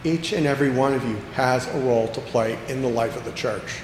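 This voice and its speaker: A man preaching a sermon as pastor, earnest, slowing down to stress key phrases and repeating lines for emphasis. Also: mid-range voice. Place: church sanctuary. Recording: echoey church hall with long reverb, faint background noise.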